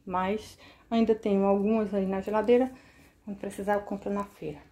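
A woman showing her grocery haul at home, listing each item one by one in a relaxed, casual way. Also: medium pitch, slight background noise. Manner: slow, listing items one by one. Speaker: vlogger. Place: kitchen at home, indoors.

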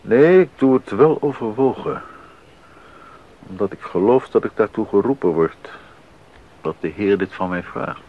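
A devout, serious composer in a radio drama, a man with a low, reflective voice. He weighs his words earnestly. The recording is dull, with faint noise behind it. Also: animated, unhurried, long pauses. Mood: earnest, resolute. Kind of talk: Dutch radio drama acting.

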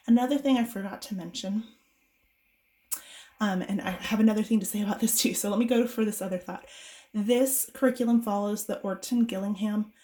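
A woman's voice: mid-range voice, fairly even intonation, a little fast, mellow. A woman in her thirties, a homeschool mom getting over a cold, chatting casually and rambling with fillers while reviewing books at home.

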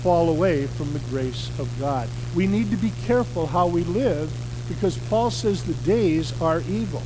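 High-voiced man preaching from a pulpit. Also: animated, noisy background. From United States. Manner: earnest, measured preaching with emphatic stresses. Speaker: pastor. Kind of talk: sermon. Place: church sanctuary, indoors. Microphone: podium mic on a stand.

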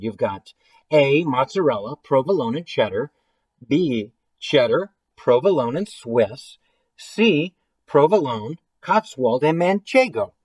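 A man's voice: mid-range voice, darkish tone, husky, no echo, rough recording. Playful American man with a dry, close sound. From St. Louis area, United States. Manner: playful, chatty, addressing listeners directly. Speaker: children's author and illustrator reading his book. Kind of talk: children's story time read-aloud with trivia quiz. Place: small room at home, indoors.

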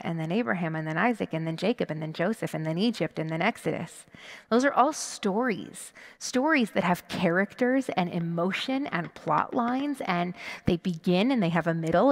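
Creaky-voiced woman preaching in a reverberant hall. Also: medium pitch. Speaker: rabbi. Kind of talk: sermon. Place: large indoor sanctuary. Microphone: headset microphone. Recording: long reverberant hall echo.

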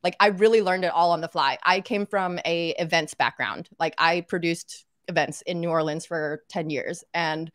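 A chatty woman in her thirties from Arkansas, talking on a video call with a slightly low voice. The sound is dry and close, with no room around it. She talks loosely, with plenty of "like" fillers. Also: fairly even intonation, normal speed, few pauses, dry recording. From Arkansas, United States. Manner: chatty, frequent "like" and "you know" fillers. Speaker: podcast host and guest. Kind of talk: casual interview chat. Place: home room, indoors. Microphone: webcam on a video call.

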